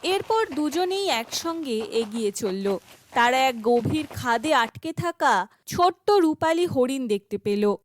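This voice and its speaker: A woman narrating a scripted fairytale for an animated children's story. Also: sits high, takes it slowly, no room sound.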